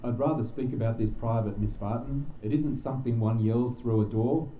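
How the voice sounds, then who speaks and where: A man's voice: mid-range voice, normal speed, muffled recording, natural voice. A man playing a polite but insistent police inspector in an audio drama, speaking formally and with authority. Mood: stern, courteous.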